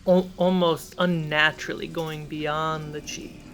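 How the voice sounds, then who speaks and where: A man's voice: a little high, little pitch movement, a little slow, fairly bright tone, faint music behind. A man narrating a tabletop fantasy game as game master, setting scenes and prompting players.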